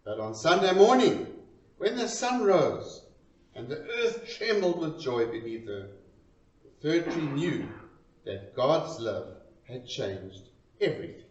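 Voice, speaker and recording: Male storyteller, slow and very animated delivery, dramatic pauses, recorded in a roomy space. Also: on the high side. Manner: expressive, deliberate, pausing between short phrases. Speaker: reader of a poem. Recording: roomy, distant, dull lo-fi sound.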